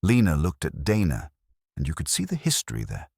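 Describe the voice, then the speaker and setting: A man reading a scripted first-person detective story as an audiobook narrator, with a husky voice. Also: sits low, sing-song, a little fast, darkish tone, dry recording, clean studio sound.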